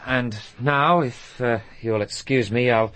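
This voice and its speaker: An actor playing a self-assured English doctor in a radio drama, polite and dry, with a coolly evasive manner. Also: male voice, medium pitch, slow pace, fairly bright tone.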